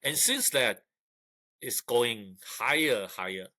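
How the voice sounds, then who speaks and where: Older guy on a video call, lively up-and-down pitch, bright dry sound, keeps restarting. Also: no echo. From Taiwan. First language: Mandarin. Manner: halting, repeats words, many uh fillers. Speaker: guest, retired engineer. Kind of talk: casual interview. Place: indoor room.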